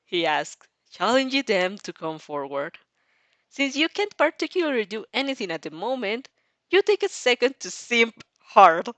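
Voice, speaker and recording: A woman reading fan fiction aloud in an expressive way, breaking off for casual reactions and restarts. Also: on the high side, normal speed, no room sound.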